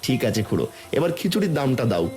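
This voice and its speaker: A man's voice: medium pitch, very animated, fast, over music, close to an everyday voice. A man voicing a kindly village food seller and cheerful father in a cartoon. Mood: friendly, obliging. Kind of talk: cartoon voice acting.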